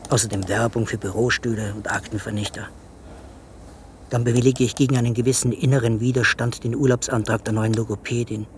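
Male psychiatrist, played by an actor, radio drama lead: low-key, wry, understated, lower-middle voice. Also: little pitch movement, fairly quick, background noise, close to an everyday voice. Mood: dry, detached. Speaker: narrating protagonist, psychiatrist. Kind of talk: radio drama, first-person narration and dialogue.

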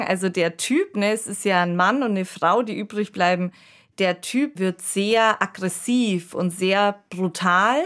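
A woman speaking in a measured, analytical way as co-host of a radio discussion. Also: mid-range voice, fairly bright tone.

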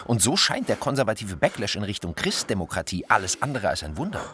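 An actor playing an agitated, grandiose, paranoid writer in mania, delivering an intense, theatrical radio drama monologue. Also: male voice, fairly low pitch, big pitch swings, quick pace, fairly bright tone, slight background noise.

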